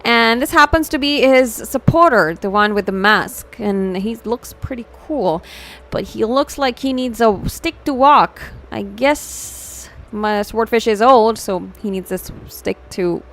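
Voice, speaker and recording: A woman with a fairly high voice and an Indian accent hosts a casual livestream. She talks informally, reacting and chatting to viewers, and mixes Hindi into her English as she goes. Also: unhurried, a bit bright. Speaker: VTuber livestream host.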